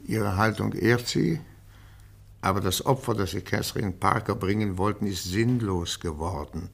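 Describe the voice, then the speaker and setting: Radio drama inspector:, gravelly, probing, formal, pressing each point with measured insistence. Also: male voice, lowish voice. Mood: grave, conclusive. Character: stern, probing male police interrogator. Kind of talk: German radio crime drama acting.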